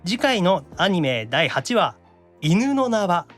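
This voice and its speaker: A man's voice: high-pitched, sing-song, slow, a bit bright, faint music behind. A man with a Kansai accent hosting a casual radio show in a chatty manner. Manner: chatty, slips into dialect. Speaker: radio show host, voice actor.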